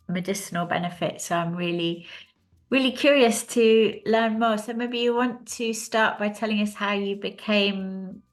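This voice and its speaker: A woman hosting an interview, asking friendly, curious questions. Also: mid-range voice. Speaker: interview host. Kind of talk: casual interview.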